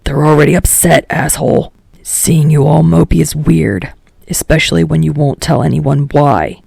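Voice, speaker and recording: A woman voicing a gruff, foul-mouthed teenage boy with a rough growl and a curt, irritable manner in an audio story. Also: deep voice, normal speed, mellow, no echo, pitched below the performer's own voice, full character voice. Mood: blunt, exasperated. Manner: curt, snapping, swearing.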